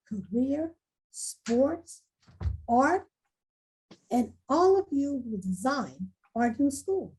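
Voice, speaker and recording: A woman's voice: sits high, dark tone, rough recording. A woman playing an earnest, determined school principal making a rousing speech in a screenplay table read. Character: earnest, determined female school principal rallying staff and students.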